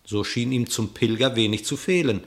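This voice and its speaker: A man's voice: mid-range voice, normal speed. A man narrating a verse fable in a radio play, telling it expressively. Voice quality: expressive storytelling, dramatic.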